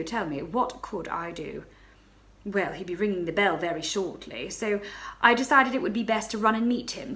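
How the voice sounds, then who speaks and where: A woman's voice: mid-range voice, fairly bright tone, natural voice. A woman voicing a flustered young married baroness who confides her misadventure, stammering and breathless. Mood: panicked.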